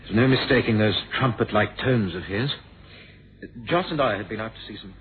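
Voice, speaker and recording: An actor playing a bitter young playboy son in a radio drama, hesitant and mock-polite with sarcasm. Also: male voice, on the low side, normal speed, natural voice. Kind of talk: 1970s radio drama acting. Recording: tinny, noisy old radio recording.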